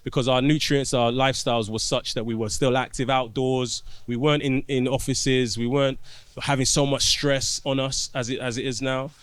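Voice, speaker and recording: A man, a doctor guest on a podcast, explaining his work through anecdotes in relaxed conversation and often restarting his phrases. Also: medium pitch, moderate pace, clean tone, dry recording.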